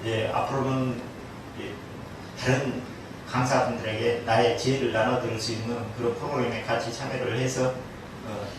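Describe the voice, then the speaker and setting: A man's voice: medium pitch, little pitch movement, moderate pace. A man speaking as a guest motivational lecturer, telling anecdotes to a live audience. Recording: very echoey distant room, faint noise.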